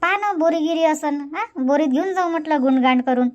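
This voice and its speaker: Woman doing old village grandma, Varhadi Marathi accent, nagging, teasing, high voice, cartoon. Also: darkish tone, dull audio, natural voice. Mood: teasing, grumbling. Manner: nagging, quick comebacks. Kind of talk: dubbed cartoon comedy.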